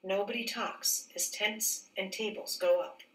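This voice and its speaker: Bright-voiced woman reading slowly in echoey room. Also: medium pitch, steady tone. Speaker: teacher reading a picture book aloud. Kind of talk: read-aloud storybook narration. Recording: roomy echo, distant mic.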